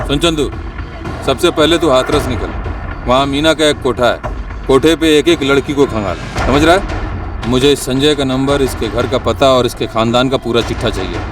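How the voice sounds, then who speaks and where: Male henchman, acted, sly, insistent, medium pitch, TV drama, slightly distant mic. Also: moderate pace, close to an everyday voice. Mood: commanding. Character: sly male gang henchman, smooth-talking schemer. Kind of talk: TV crime drama acting. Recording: distant mic under loud music.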